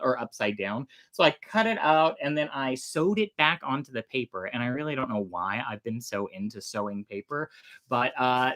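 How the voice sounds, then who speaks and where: An enthusiastic storytelling host with a mid-pitched voice. The delivery is informal and personable in the way of a host addressing followers, with a storyteller's sense of drama. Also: a bit bright. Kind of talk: chatty intro and dramatic reading of an old sea tale.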